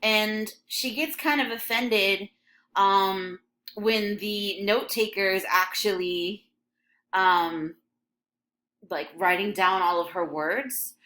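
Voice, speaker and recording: A woman's voice: mid-range voice, fairly even intonation, slow, sharp tone, a little hiss or hum. A young woman from Michigan with a slight Michigan accent, teaching an English lesson in a casual, chatty way full of ums and likes. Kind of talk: recorded classroom lesson over a scrolling text.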